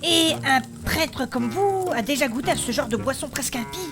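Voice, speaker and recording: A woman voicing a composed, well-travelled adventurer in a fantasy comedy audio drama, informative and gently teasing. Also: sits high, animated, brisk. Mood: curious. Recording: music behind the voice.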